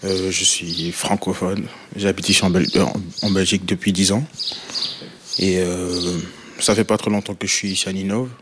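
A man's voice: deep voice, little pitch movement, normal speed, noisy background. A teenage boy from Kinshasa answering a street interview in a casual, hesitant way, full of restarts and fillers. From Democratic Republic of the Congo. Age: teens.

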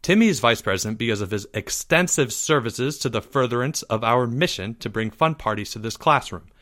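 A man's voice: medium pitch, lively intonation, fairly quick, a bit bright, close to an everyday voice. A man voicing an orderly schoolboy club president in a formal, firm, businesslike manner. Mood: firm, officious.

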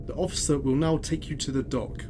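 An actor playing a cold futuristic court clerk in an audio drama, reciting in a formal, procedural way. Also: male voice, fairly even intonation, a little fast, close to an everyday voice. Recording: heavy rumbling noise.

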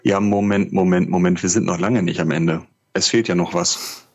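A man co-hosting a podcast in casual chat, explaining things haltingly with a husky voice. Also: deep voice, fairly even intonation, darkish tone. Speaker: podcast co-host, audio drama writer. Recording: slightly dull podcast audio.

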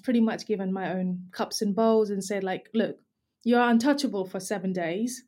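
A woman from Nepal, a company founder, talking as a guest in a relaxed, hesitant way with many restarts. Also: medium pitch, moderate pace. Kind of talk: casual interview chat. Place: industrial area, indoors.

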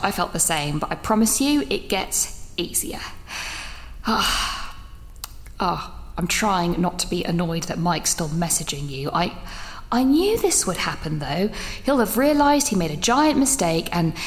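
An actress playing an anxious young student who rambles through a voice note with many restarts, half whispering at first. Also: female voice, mid-range voice, in a large hall, natural voice. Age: young adult. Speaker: university student leaving a voice note. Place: small echoey toilet room.

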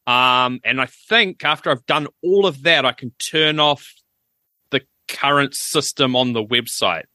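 A man's voice: medium pitch, unhurried, bright, forceful tone, no room sound, clean studio sound. A man, co-hosting a podcast in casual chat, explaining things at length with wry asides. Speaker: podcast co-host.

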